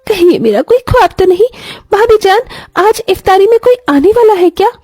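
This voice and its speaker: Woman putting on an excitable, high girlish young sister-in-law. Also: brisk, no room sound, clean studio sound. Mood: amazed, delighted. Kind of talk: dramatized moral storytelling.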